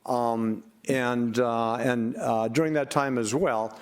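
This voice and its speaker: Older male panel speaker, medium pitch, unscripted, hesitant and rambling delivery. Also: fairly even intonation, takes it slowly, smooth. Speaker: panel speaker for a relief organization. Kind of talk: unscripted conference panel talk.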